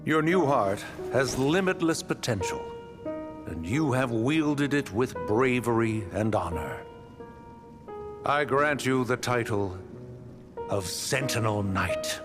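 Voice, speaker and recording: An actor playing a noble, loyal knight in a video game, speaking in a formal, ceremonial way. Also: male voice, medium pitch, animated, slow pace, music in the background. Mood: proud, solemn. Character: noble, loyal knightly lord bestowing honors. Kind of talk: dramatic video game voice acting.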